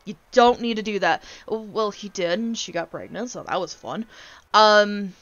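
A woman's voice: mid-range voice, normal speed, fairly bright tone, no echo, lo-fi sound. A woman in her twenties ranting casually on a gaming stream, blunt, exasperated and sweary as she tells stories. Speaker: streamer, VTuber host.

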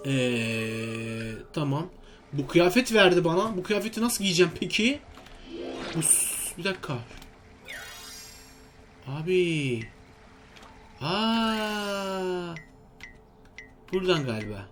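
A man's voice: high-pitched, expressive, slow, pauses often, a bit bright, soft background music. A man giving casual, exclamatory let's-play commentary, talking to himself and his viewers. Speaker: gaming video host.

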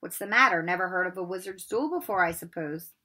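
A woman's voice: lowish voice, fast, pushed and bright, breathy edge. A woman voicing a cocky, taunting schoolboy bully from a storybook. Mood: sneering, challenging.

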